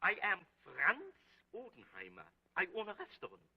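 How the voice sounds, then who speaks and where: An actor playing a stubborn restaurant owner who tells his story slowly and insists on it. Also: sits high, wildly swooping pitch, gravelly, pushed and bright, husky, heavily acted, low-quality recording. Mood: insistent. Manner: slow, insistent telling.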